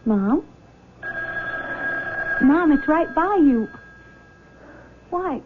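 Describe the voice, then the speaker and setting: A woman's voice: high voice, expressive, extremely slow, full character voice. A woman playing an anxious, bewildered young daughter in a 1970s radio drama. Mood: alarmed, confused. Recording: muffled, hissy 1970s radio broadcast recording.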